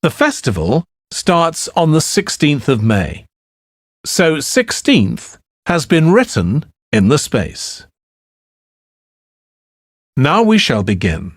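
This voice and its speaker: A man reading scripted test instructions as an exam announcer, in a formal and deliberate manner. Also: on the high side, huge pitch swings, no room sound, clean studio sound.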